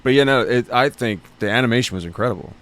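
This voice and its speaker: A man hosting a podcast in casual chat, excitable and quick to swear and laugh. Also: medium pitch. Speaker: podcast host.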